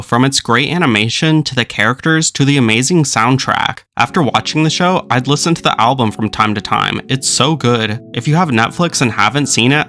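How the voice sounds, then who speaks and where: A man's voice: medium pitch, fairly bright tone. A young man narrating a scripted video essay as its host.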